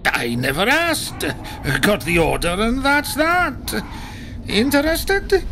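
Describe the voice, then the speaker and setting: Shifty trader, wildly swooping high voice. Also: male voice, sing-song, takes it slowly, background noise, full character voice. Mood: sly, businesslike. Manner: theatrical, swooping, evasive. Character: excitable, shifty male trader buying monster curios. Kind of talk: video game voice acting.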